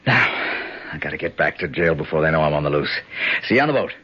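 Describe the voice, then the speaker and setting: An actor playing a bossy, street-smart American schemer who teases and narrates in a wry, slangy way in a 1970s radio drama. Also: male voice, a bass voice, expressive, fast talker, husky, exaggerated voice. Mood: brisk plotting. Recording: dull 1970s radio recording.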